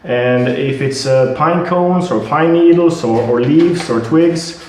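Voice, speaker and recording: A man with a Swedish accent, a product representative, explaining and demonstrating his product with halting speech, fillers and repeated words. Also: on the high side, darkish tone, slight background noise. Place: building site at a house. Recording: very echoey.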